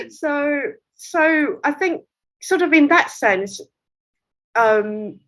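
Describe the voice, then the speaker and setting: A woman translator whose first language is Cantonese, explaining her work at length in a relaxed interview, with light laughs. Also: a little high, low-quality recording. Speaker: literary translator, guest. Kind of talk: conversational interview.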